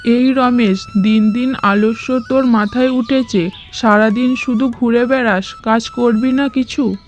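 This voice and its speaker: Cartoon role: stern older village woman played by a woman, admonishing, unhurried, slightly high, music underneath. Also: fairly even intonation, close to an everyday voice. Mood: scolding. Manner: scolding, admonishing. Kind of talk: cartoon moral-story voice acting. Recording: music behind.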